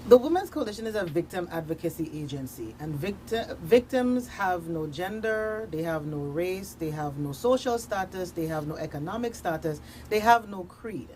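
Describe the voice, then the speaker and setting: A woman's voice: on the low side, animated, moderate pace, few pauses, mellow, slight background noise, rough recording. A woman speaking as a victim advocate in a radio interview, explaining emphatically in parallel, listed phrases.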